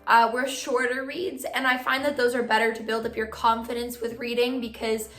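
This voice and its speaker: A young woman vlogging casually to her viewers, giving friendly reading tips, her voice a bit hoarse from losing it. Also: a little high, flat delivery, moderate pace, fairly bright tone, reverberant, faint music behind. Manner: friendly advice-giving, filler "like". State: partly lost voice, hoarse. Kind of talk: casual vlog talk to camera.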